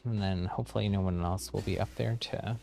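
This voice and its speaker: A man's voice: low voice, fairly even intonation, fairly quick, gravelly, dark tone. A man giving casual gameplay commentary on a livestream, explaining things as he goes and thinking aloud. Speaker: game livestreamer.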